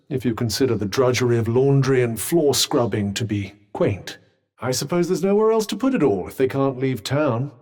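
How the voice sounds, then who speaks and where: Haughty talking enchanted armguard for a video game: British, sardonic, lively swooping delivery, slightly husky edge. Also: male voice, medium pitch. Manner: dry, pompous, wry. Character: sardonic, haughty male talking magical vambrace companion. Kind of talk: video game companion dialogue.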